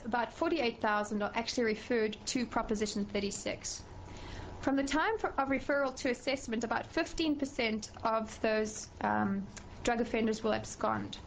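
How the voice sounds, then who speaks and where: A middle-aged professor with a South African accent gives formal testimony before a committee. Her voice sits slightly high, and she speaks in a measured way, pausing on fillers and restarting phrases. A faint hiss runs underneath. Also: low-quality recording.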